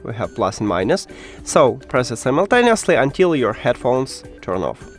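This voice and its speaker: A man's voice: mid-range voice, very animated, moderate pace, faint music behind. A man hosting a step-by-step tech tutorial in an instructional way, with slightly non-native phrasing.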